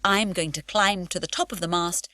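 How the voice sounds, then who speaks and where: A woman voicing a bold, cheeky young girl in a storybook reading, loud and teasing. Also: on the low side, steady tone, moderate pace. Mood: impatient. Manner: loud, teasing, mock-spooky.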